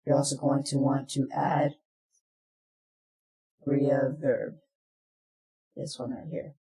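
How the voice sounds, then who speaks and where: A presenter narrating a software tutorial in plain, step-by-step instructions, recorded in an echoey room. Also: mid-range voice, slow, creaky.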